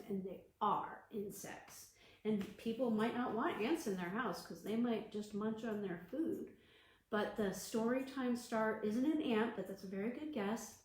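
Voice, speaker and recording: A woman librarian reading a storybook aloud to children on a livestream, gentle and encouraging. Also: medium pitch. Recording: echoey room.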